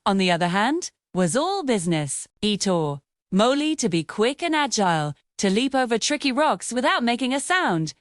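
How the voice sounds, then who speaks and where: Woman, children's storyteller, lively bouncing pitch, fairly high voice, dry close voice-over. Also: no room sound. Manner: expressive storybook delivery. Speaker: storyteller narrator. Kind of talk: scripted children's bedtime story narration.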